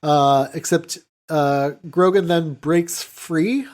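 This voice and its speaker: A man co-hosting a podcast, casually recapping a film in a halting way full of filler words. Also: a little high, a little mellow. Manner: halting, filler-heavy with "like" and "you know". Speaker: podcast co-host.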